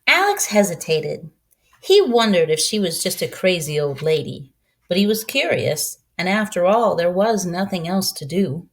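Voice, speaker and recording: A woman narrating a children's storybook as a reader, in an expressive read-aloud style. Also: on the low side, moderate pace.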